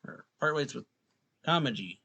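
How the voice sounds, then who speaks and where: A man giving casual let's play commentary with a raspy, creaky voice, reacting and reading choices aloud. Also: mid-range voice, animated, normal speed, bright, forceful tone. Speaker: gaming streamer.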